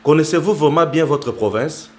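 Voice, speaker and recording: A man's voice: on the high side, moderate pace. Formal, emphatic male show host. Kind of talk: interview hosting.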